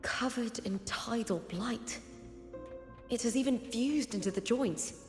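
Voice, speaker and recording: An actress voicing a resolute, purposeful young woman warrior in a video game, speaking in a measured, determined way. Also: a little high. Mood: focused, practical. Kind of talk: scripted game dialogue, thinking aloud. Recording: echoey hall reverb under game music.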